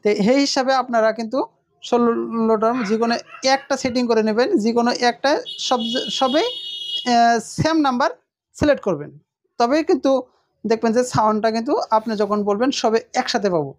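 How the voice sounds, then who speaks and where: A woman talks very quickly in a slightly high voice. She explains things casually, as in a homemade unboxing, often repeating a point. The sound is close and dry, with little room echo. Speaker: product unboxing presenter.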